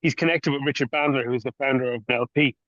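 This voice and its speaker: A man's voice: mid-range voice, steady tone, racing, fairly bright tone, no room sound, lo-fi sound. A man from Ireland with an Irish accent, hosting an interview in a conversational style. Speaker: podcast interviewer.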